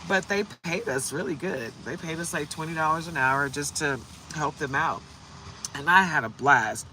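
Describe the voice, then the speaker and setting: Hoarse chatty woman tarot reader. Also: deep voice, moderate pace, sharp tone, slight background noise, low-quality recording. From United States. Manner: chatty and upbeat, greeting viewers by name. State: hoarse from shouting. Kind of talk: casual livestream chat.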